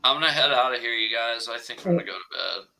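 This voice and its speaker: A man's voice: medium pitch, expressive, fast, vocal fry, crowd noise. A man making laid-back, wry remarks in a casual chat.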